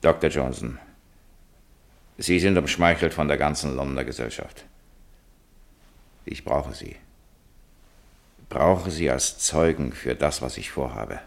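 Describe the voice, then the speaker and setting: A man's voice: a bass voice, a little slow, creaky, pauses often, exaggerated voice. An actor playing a humane male writer, eloquent and probing, in a 1950s German radio drama. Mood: determined, earnest. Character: Oliver Goldsmith, humane male writer challenging superstition.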